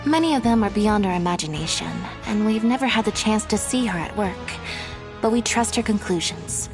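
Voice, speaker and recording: A woman's voice: medium pitch, animated, moderate pace, over music, close to an everyday voice. An actress playing a reserved, loyal and assured young female follower in a video game. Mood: assured, reverent.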